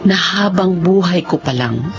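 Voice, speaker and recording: Slightly muffled and backed by music, an affectionate, remorseful wife in a Filipino radio drama. Her voice sits a little low, with an earnest, emotional delivery. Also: expressive, a little slow. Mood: regretful narration. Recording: muffled audio with music bed.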